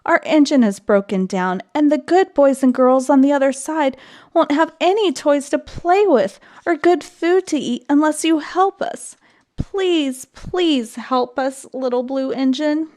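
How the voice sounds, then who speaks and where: A woman's voice: measured pace, a little mellow. A woman voicing small, earnest, childlike dolls and toys in a storybook, pleading for help. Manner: high, pleading.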